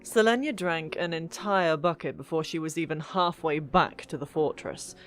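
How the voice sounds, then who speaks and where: A woman narrating a fantasy audiobook in an expressive, deliberate storytelling manner. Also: low-pitched. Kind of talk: dramatic scripted fiction narration. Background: background music.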